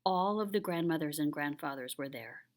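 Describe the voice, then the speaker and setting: A woman's voice: sits low, lively intonation, moderate pace. A woman voicing a patient, solemn old grandfather who tells a tale in a grave, tender way, reading a children's picture book. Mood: gentle, solemn.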